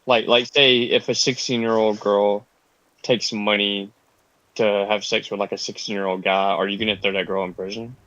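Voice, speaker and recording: A man in his thirties with a lowish voice, heard close and dry on a dull microphone. He argues bluntly and casually, challenging points with questions. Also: little pitch movement, moderate pace, fairly bright tone, dry recording. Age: 30s. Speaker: debate participant. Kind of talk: casual group debate.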